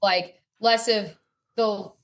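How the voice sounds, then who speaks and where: A woman's voice: medium pitch, fairly even intonation, drawn-out words. A woman speaking casually and emphatically as an opinionated player being interviewed on a sports podcast.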